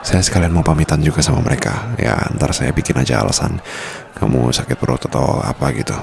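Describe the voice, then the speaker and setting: A man with an Indonesian accent acting a smitten, protective mafia boss in an intimate ASMR boyfriend roleplay, murmuring softly and teasingly. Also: extremely low pitch, animated, brisk, vocal fry, heavily acted. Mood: calm, affectionate. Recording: faint night-time insect ambience noise.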